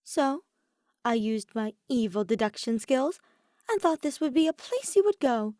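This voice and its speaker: A woman's voice: on the high side, very animated, a little slow, a little mellow, no echo, clean studio sound, voice pushed up. A woman voicing a mischievous, lovestruck teenage boy in a theatrical, teasing way for a fan fiction reading. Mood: playful confession.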